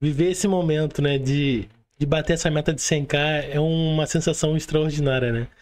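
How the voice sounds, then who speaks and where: A man's voice: fairly high pitch, fairly even intonation, moderate pace, a little mellow. A Brazilian man hosting a casual interview, chatting informally with frequent tag questions. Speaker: podcast host.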